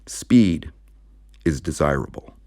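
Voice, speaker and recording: A man voicing a calm male alien visitor in a science fiction audiobook, with measured, stilted, formal speech. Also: very deep voice, no echo, studio quality, exaggerated voice. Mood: formal, insistent. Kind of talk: audiobook character voice.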